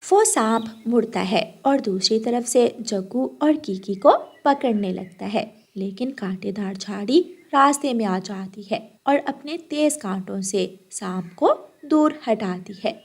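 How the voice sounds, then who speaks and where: A woman's voice: on the high side, lively intonation, a little slow, hardly any pauses. A woman narrating a scripted children's cartoon story.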